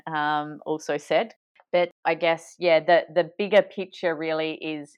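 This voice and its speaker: A woman with a low, smooth, resonant voice summarizes an interview as a podcast co-host. She speaks thoughtfully and hesitantly, pausing on frequent ums and restarting her phrases.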